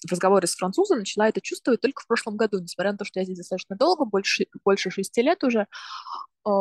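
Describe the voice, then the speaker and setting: A woman in her twenties who grew up in Russia talks through her studies as a podcast guest. She is thoughtful and explains step by step, often stopping to rephrase. Her voice sits at a middle pitch and is recorded dry and close, with no room sound. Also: dry recording. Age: 20s. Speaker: podcast guest, university student. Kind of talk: casual explanatory talk.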